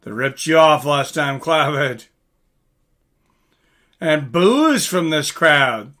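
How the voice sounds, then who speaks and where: A man's voice: a little high, lively intonation, slow pace, pushed and bright. A man giving casual live fight commentary in an informal, conversational way, like a livestream host.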